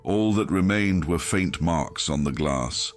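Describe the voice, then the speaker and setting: A man reading a scripted first-person horror story in a grim, measured, dramatic manner, as an audiobook narrator. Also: sits low, lively intonation, faint music behind.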